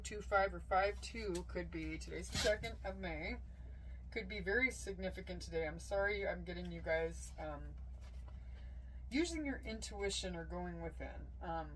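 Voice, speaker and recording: A woman with a lowish voice gives a casual tarot reading, wandering through her thoughts with frequent ums and restarts. Between her own remarks she reads passages aloud from a guidebook. A clear hum of noise and passing cars sits behind her. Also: rough recording. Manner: meandering, thinking aloud with many ums and restarts. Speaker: tarot reader.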